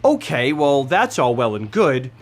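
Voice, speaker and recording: A man's voice: medium pitch, very animated, a little slow. A man narrating a scripted video essay as its host, with emphatic, dramatic phrasing and conversational asides. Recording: music behind the voice. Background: background music.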